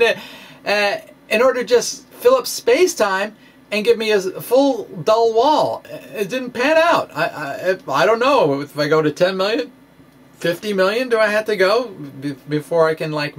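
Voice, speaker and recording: A man giving an informal science explainer in a folksy, conversational way with theatrical emphasis. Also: fairly high pitch. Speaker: science blogger presenting own work. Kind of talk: informal explanatory lecture, dramatic reading of blog post.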